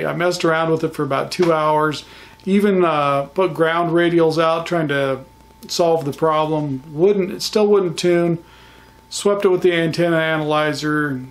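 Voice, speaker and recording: A middle-aged man with an American accent talks through a casual recap as a hobbyist vlogger. His voice sits slightly higher than most men's. He comes across as folksy and plain-spoken. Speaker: vlogger, amateur radio hobbyist.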